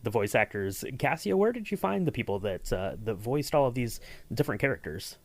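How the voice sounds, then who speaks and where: A man hosting a casual behind-the-scenes podcast chat, asking questions in a halting, rambling way. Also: medium pitch, big pitch swings, no echo. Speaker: podcast host and sound designer.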